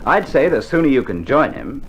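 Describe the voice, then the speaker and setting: An actor playing a seasoned, unflappable Australian sergeant major, laconic and droll, in an old radio war play. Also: male voice, medium pitch, sing-song, moderate pace. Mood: businesslike. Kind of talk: 1960s military radio play acting. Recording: slightly noisy old vinyl recording.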